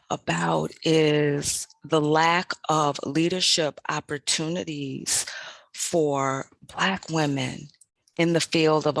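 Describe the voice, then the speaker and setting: Slow, gravelly-voiced woman in her fifties. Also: sits low, no echo. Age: 50s. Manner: measured and deliberate, repeating phrases for emphasis. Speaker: podcast host. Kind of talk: reflective personal monologue.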